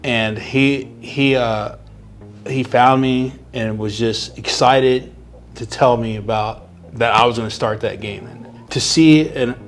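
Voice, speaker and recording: A man's voice: mid-range voice, slow, slight background noise. A man from Houston, Texas, working as a substitute teacher, giving earnest, reflective interview answers.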